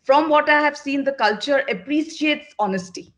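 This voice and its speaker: A woman's voice: high voice, moderate pace, a bit bright. A middle-aged woman from Northeast India, a managing partner at an agency, answering interview questions in an earnest, direct way, often repeating words for emphasis.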